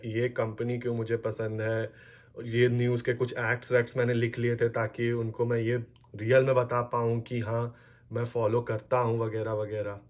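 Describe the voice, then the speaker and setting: A man's voice: medium pitch, darkish tone. A young man with an Indian accent chatting casually as a vlogger, explaining things and switching often between two languages. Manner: code-switches between Hindi and English, explanatory. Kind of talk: casual vlog chat.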